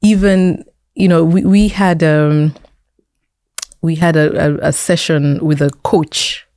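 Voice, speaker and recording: A woman with a Kenyan accent talks as a guest in a conversational interview. Her voice is low and relaxed, recorded very dry. She explains by example and repeats words for emphasis. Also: slow, no echo. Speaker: interview guest, tech entrepreneur and founder.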